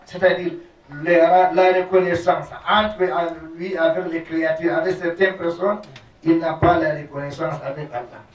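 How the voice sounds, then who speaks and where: A preacher with Arabic as his first language, reciting prayers in Arabic at speed and then explaining them slowly in halting French at a gathering. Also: fairly high pitch. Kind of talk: sermon. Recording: roomy, distant, dull sound.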